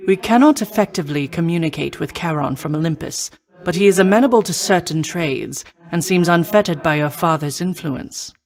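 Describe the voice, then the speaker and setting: A woman playing a noble, protective war goddess in a video game, speaking in formal, measured, old-fashioned phrasing. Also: lowish voice, lightly acted. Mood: composed, encouraging. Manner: formal, measured, archaic stately diction. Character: noble female war goddess, poised, protective, confident mentor. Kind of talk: scripted video game voice acting.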